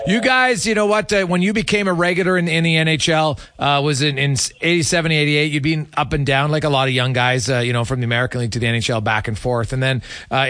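A man's voice: on the high side, sharp tone, no room sound, studio quality. A man hosting a casual radio sports interview, asking questions in a chatty, informal way.

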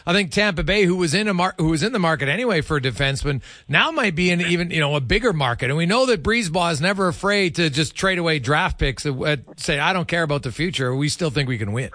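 A man's voice: fairly high pitch, animated, fast, few pauses, pushed and bright, no room sound, clean studio sound. A man hosting a sports radio interview in a casual, chatty way, often repeating phrases as he thinks aloud. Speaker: sports radio show host.

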